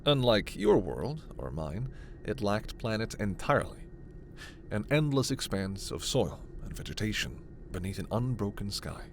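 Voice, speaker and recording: A man playing a gentle, earnest alien scientist in a sci-fi ASMR roleplay, speaking in a measured, hesitant, soft way. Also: fairly low pitch, big pitch swings, vocal fry, slight background noise. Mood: solemn. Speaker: voice actor.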